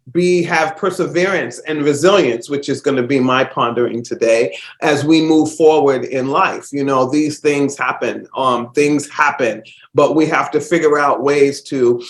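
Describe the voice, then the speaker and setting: A man's voice: mid-range voice, reverberant. A man hosting a casual solo podcast while stuffed up with a cold, rambling with fillers and restarts. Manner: rambling, warm-hearted, many fillers and restarts. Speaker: host.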